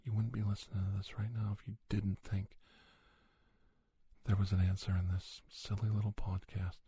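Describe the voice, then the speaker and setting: Low, husky middle-aged man, ASMR. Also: flat delivery, normal speed. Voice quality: soft-spoken, breathy, often slipping into whisper. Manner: encouraging, folksy pep-talk advice. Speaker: podcast host playing an advice-giving doctor. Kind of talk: ASMR, one-sided role-play conversation.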